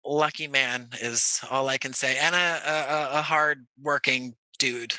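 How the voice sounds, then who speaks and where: An American man talking conversationally as an interview guest, choosing his words in an articulate, measured way. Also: medium pitch, fairly even intonation, pushed and bright, no room sound. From Jersey City, United States. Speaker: podcast guest, audio play creator.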